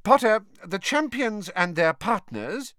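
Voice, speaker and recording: A man's voice: sits high, big pitch swings, slow, bright, forceful tone, no room sound, clean studio sound, pitched above the performer's own voice, full character voice. A man voicing a stern, prim older female schoolteacher in an audiobook, with a clipped, disapproving manner. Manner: clipped, disapproving, cold and firm.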